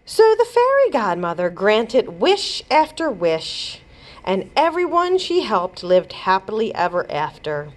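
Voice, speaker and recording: A woman reading a children's chapter book aloud as a storyteller, with expressive delivery. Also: mid-range voice, a little slow. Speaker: librarian host and storyteller. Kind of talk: children's read-aloud narration.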